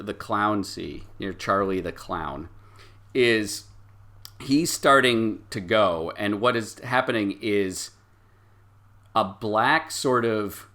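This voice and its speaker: Bright-voiced man as game master. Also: lowish voice. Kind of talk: improvised narration of a tabletop role-playing game.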